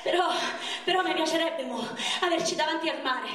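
A woman's voice: very high voice, brisk, fairly bright tone. A woman on stage playing a wounded, pleading young man, with a Roman accent and raw, colloquial, repetitive speech. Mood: wistful. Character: wounded young man of thirty-one, pleading, wistful, foul-mouthed. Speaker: stage actor. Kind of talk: theatrical monologue in dialect. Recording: echoey theatre, distant microphone.

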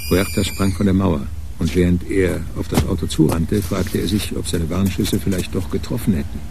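A man with a gravelly voice reading scripted narration for a crime radio drama. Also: low-pitched, steady tone, normal speed. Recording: clearly noisy background.

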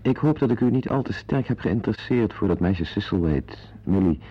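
Grumbling, opinionated husband for radio play; lowish, slightly husky, dull old recording. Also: male voice, lively intonation, fairly quick. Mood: musing, confiding. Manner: wordy, opinionated, hesitant with strangers. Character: Edward Grok, grumbling, self-important husband, confiding in listeners. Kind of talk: radio drama acting with narrated asides.

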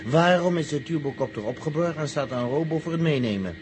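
A man's voice: a little high, expressive, fast talker, close to an everyday voice. A man playing an anxious, suspicious traveller who grumbles and repeats himself, in 1960s radio drama style. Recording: noisy old radio drama tape.

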